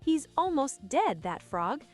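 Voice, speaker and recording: A bossy young farm girl from a children's storybook, put on by a woman. She sounds shrill and exasperated, with a high voice that swings animatedly as she complains. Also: big pitch swings, slow, soft background music, full character voice.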